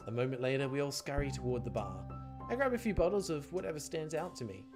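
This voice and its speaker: A man's voice: mid-range voice, expressive, quick pace. A man streaming a game, reading visual novel narration aloud and adding casual commentary. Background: music.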